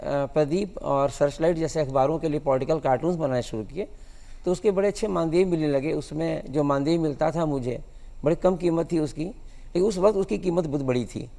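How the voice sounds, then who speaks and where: A man in his sixties giving interview answers as a guest, speaking in a measured, halting way. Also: a little high, gravelly, mellow. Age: 60s. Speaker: guest cartoonist. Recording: long hall-like reverb.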